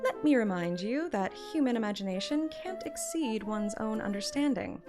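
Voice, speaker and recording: A woman's voice: fairly high pitch, sing-song, moderate pace. A woman voicing a playful, curious young game heroine, reading both her dialogue and her thoughts, with music behind her. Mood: playful, teasing. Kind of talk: dramatic reading of game text.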